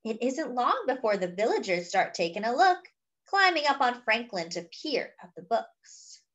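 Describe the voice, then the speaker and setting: A woman's voice: a little high, sing-song, moderate pace, fairly bright tone, muffled recording. A woman reading a picture book aloud expressively, with chatty, wondering asides to young listeners. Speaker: children's story reader.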